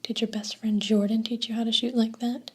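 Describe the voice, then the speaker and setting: A woman's voice: a little high, level tone, a little fast, a little mellow, breathy edge. A woman whispering as a sneering older bully.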